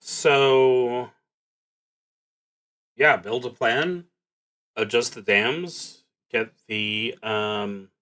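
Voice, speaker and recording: Slow-paced man streaming a solo tabletop game. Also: mid-range voice, fairly bright tone. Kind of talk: casual game play narration, thinking aloud and reading prompts.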